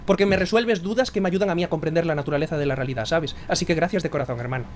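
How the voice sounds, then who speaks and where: A man's voice: a little high, very fast, slight background noise. A man from Galicia with a Galician Spanish accent, hosting a casual live-streamed interview and speaking earnestly, calling his guest brother. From Spain, Galicia. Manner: earnest, brotherly, effusive thanks. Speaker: podcast host.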